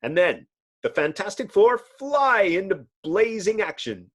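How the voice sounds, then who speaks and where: A man reads comic-book narration with theatrical flair. His voice sits on the high side and swoops dramatically, giving a bombastic storytelling delivery. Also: big pitch swings, unhurried, fairly bright tone. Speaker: narrator, host.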